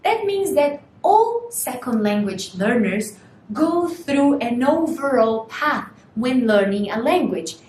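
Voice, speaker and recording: A young woman whose first language is Portuguese gives a recorded university lecture, explaining slowly and putting rhetorical questions to students. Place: small studio room in front of a screen. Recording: echoey room, faint rumble.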